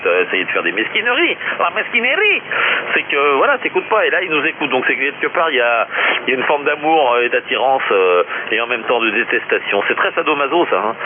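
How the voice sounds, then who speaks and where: A man chatting casually as an amateur radio operator, rambling and slangy, over a shortwave transmission. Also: medium pitch. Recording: noisy narrowband shortwave radio, heavy static.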